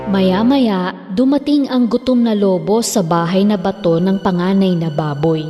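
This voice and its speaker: Isang babaeng nagsasalaysay ng nakasulat na kuwentong pambata, may alingawngaw at mahinang musika sa likod. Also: female voice, mid-range voice, a little slow. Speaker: storyteller. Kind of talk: scripted children's fairy tale narration. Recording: long reverb, faint music behind.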